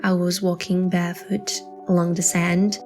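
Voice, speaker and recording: A woman's voice: lowish voice, flat delivery, moderate pace, darkish tone, soft background music, lightly acted. A woman playing a wistful woman confiding a mysterious memory in an intimate, hesitant audio drama style.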